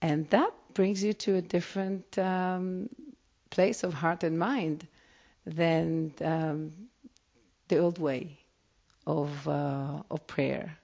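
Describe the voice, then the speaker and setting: A woman's voice: sits low, fairly even intonation, slow pace, vocal fry, dark tone. A woman rabbi whose native language is Hebrew, speaking with an Israeli accent and answering interview questions in a reflective way, often restarting her sentences. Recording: dull lo-fi recording.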